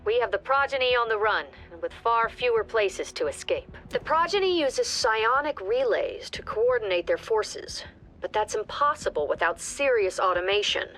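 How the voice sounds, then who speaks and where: A crisp mission commander. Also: female voice, on the high side, lively intonation, moderate pace, fairly bright tone, rough recording. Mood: commanding. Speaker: mission commander giving briefings. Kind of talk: game briefing voice acting. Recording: game audio with clear music behind.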